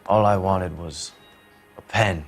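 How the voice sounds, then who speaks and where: An evasive, self-righteous writer being grilled in a crime game. The voice is deep, articulate and indignant, over faint music. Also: male voice, takes it slowly, gravelly, heavily acted. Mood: earnest, self-justifying. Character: self-righteous, evasive male would-be writer under interrogation. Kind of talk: dramatic video game acting.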